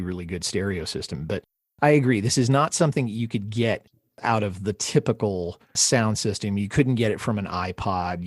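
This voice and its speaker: A man with a fairly low voice hosts a music discussion podcast. He talks in a reflective, measured manner and phrases things articulately. The sound is dry, with little room in it. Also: dry recording. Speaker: podcast host.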